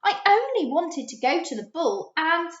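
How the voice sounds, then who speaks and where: A woman's voice: very high-pitched, sing-song, unhurried, voice pushed up, exaggerated voice. A woman voicing Gertie, an eager, pleading young girl in a storybook. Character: Gertie, little ugly sister, pleading, eager. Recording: roomy, slightly dull recording.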